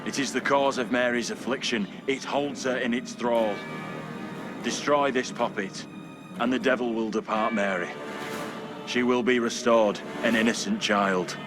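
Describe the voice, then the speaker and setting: A man's voice: mid-range voice, steady tone, a little slow, music in the background, close to an everyday voice. An actor playing an earnest, troubled, God-fearing colonial townsman in a video game, with solemn, formal, archaic speech. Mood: solemn, urging. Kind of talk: dramatic video game acting.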